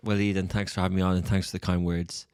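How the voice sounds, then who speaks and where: An Irish man in his thirties speaks in a low, even register as a podcast guest. He tells his story in a measured, reflective way, and the recording is dry, with almost no room sound. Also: clear, resonant tone, no room sound. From Ireland. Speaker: podcast guest, media founder. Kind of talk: conversational interview.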